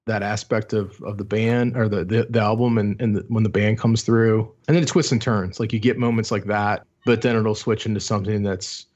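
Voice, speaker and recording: A man's voice: fairly low pitch, fairly even intonation, a little fast, few pauses, a little mellow, highs cut off. A man co-hosting a podcast, talking through an album in a thoughtful, meandering way with many restarts. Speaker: podcast co-host. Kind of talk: casual album discussion.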